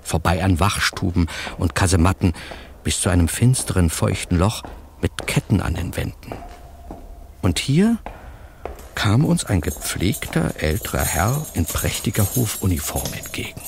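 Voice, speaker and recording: An actor in a radio drama playing a wry, cheeky, jumpy newspaper reporter who also narrates in colloquial style. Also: male voice, deep voice, animated, normal speed, vocal fry, slight background noise. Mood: wry narration. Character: cheeky, jumpy male American reporter and storyteller sidekick.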